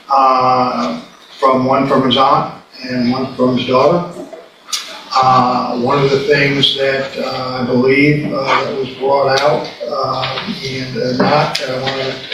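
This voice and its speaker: An older man argues a case in a rambling, repetitive, halting way. His pitch barely moves. He is picked up from a distance in a very echoey courtroom with clear background noise. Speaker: defense attorney. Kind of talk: courtroom closing argument.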